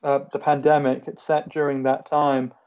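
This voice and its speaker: Flat-voiced man interviewer, hesitant. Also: medium pitch, normal speed. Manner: hesitant, many fillers like "um" and "like". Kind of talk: interview questions. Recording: dull lo-fi call audio.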